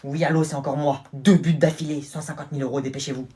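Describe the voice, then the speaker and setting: A man's voice: a little high, flat delivery, fast talker, dark tone, slightly rough. A man playing a boastful rich young boy prince who brags in a smug, casual way in a comedy sketch. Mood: bossy.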